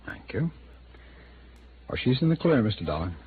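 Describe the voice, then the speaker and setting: A man acting a smooth-talking but defensive American city attorney in his thirties, in an old-time radio drama. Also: medium pitch, big pitch swings, moderate pace, close to an everyday voice. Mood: measured, hesitant. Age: 30s. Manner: hesitant, defensive, conversational. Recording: phone-like, noisy old radio recording.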